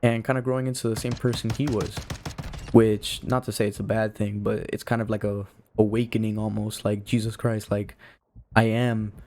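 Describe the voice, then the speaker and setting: A young man in his late teens with a fairly low voice and very even pitch. He talks to his viewers in a casual, informal ramble. His sentences wander and are dotted with "you know" and "like". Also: normal speed. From Cuba. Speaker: video creator.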